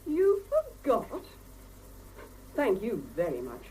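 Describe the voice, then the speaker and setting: A woman's voice: medium pitch, huge pitch swings, takes it slowly, darkish tone. A woman playing a sarcastic, accusatory unmarried scientist who scolds a colleague in a 1970s radio drama. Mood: scolding. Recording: faintly noisy old mono cassette radio recording.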